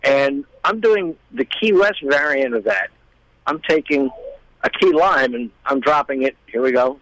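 A man's voice: mid-range voice, measured pace, a bit bright, no room sound, low-quality recording. Man rambling in casual, dry-sounding chat. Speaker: guest, musician.

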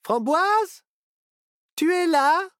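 A man voicing a fearless young girl who speaks with calm, confident authority. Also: sits high, measured pace, dry recording, studio quality, voice pushed up. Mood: brisk. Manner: confident, matter-of-fact. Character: bold, brisk young girl, calm and bossy. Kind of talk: storybook reading.

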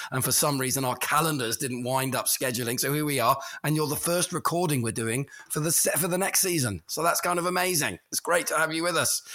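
A man co-hosting a conversational podcast, hoarse and throaty from a cold, playful and chatty. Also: mid-range voice, a bit bright. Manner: playful, sings the intro. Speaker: podcast co-host.

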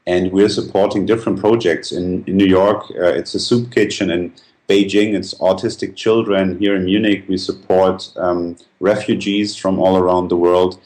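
A man's voice: low-pitched, level tone, moderate pace, darkish tone. A man giving explanatory interview answers, earnest and feeling his way through his phrasing. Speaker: company founder being interviewed.

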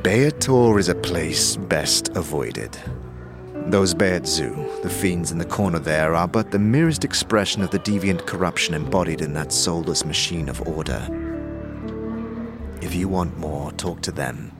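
A man's voice: deep voice, a little slow. A man playing a world-weary, grave traveler in a fantasy audio drama, speaking formally and ominously. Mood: grave warning. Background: prominent background music.

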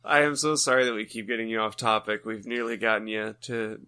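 A man's voice: lowish voice, little pitch movement, a little fast, pushed and bright, clean tone. A man co-hosting a podcast, casual and teasing, with crude banter. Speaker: podcast co-host. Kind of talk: casual comedic chat.

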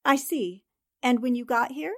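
A woman playing a sharp, commanding newspaper columnist who questions briskly in a 1940s-style radio drama. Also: on the high side. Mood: probing.

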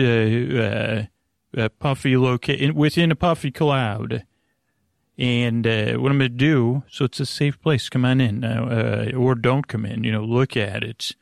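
A man hosting a sleep podcast in a meandering, halting monologue, with a creaky voice, often repeating himself and trailing off mid-thought. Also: mid-range voice, dry recording, studio quality. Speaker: podcast host.

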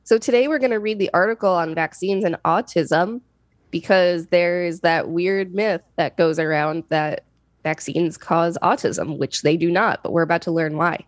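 Low-pitched woman podcast host. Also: moderate pace, dry recording. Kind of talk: reading an encyclopedia article aloud with commentary.

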